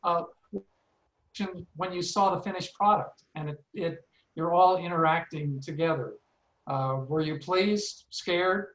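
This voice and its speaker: Echoey male moderator with halting delivery. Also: fairly high pitch, fairly bright tone. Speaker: seminar moderator. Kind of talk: hosting a panel discussion. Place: indoors, online video seminar.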